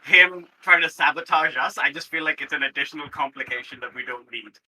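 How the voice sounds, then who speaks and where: A man's voice: on the high side, brisk, sharp tone, rough recording. A man playing in a tabletop role-playing game, talking in a casual, tentative, slangy way.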